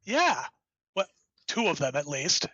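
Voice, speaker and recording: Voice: mid-range voice, very animated, measured pace, sharp tone, slightly rough, no echo, lo-fi sound, exaggerated voice. An actor playing an enthusiastic, rambling writer who created the hero, in an audio drama. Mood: eager, apologetic. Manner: enthusiastic, rambling, self-interrupting.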